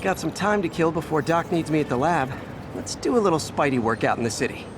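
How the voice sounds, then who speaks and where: An actor voicing an earnest young adult male hero who talks quickly and gets flustered. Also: on the high side, expressive, noisy background, lightly acted. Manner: quick, flustered, self-talking.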